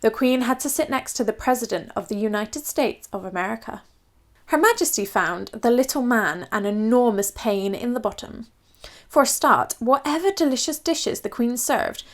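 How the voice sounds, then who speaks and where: Female narrator for children's read-aloud, higher-pitched, expressive storybook delivery.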